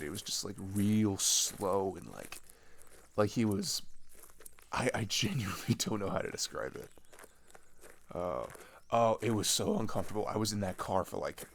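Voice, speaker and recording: A man's voice: fairly low pitch, expressive, moderate pace, a little hiss or hum. A young man playing a chatty, sarcastic teenage traveler in a casual audio roleplay, rambling in hesitant slang. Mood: amused unease. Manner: rambling, hesitant, slangy, filler-heavy, giggly. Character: chatty, sarcastic teenage boy traveler swapping road stories.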